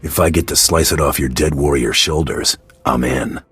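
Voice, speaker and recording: A man playing a curt, menacing bounty hunter in a video game, with a gravelly rasp and dry sarcasm. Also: extremely low pitch, steady tone, moderate pace, a bit bright, no echo, faint music behind, exaggerated voice. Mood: sly. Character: gruff, callous male bounty hunter.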